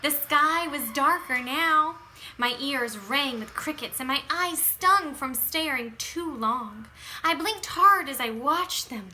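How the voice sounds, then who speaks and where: A woman reading a children's picture book aloud in an expressive, dramatic way, stretching words for emphasis and moving between hushed phrases and bursts. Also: high-pitched, a little hiss or hum.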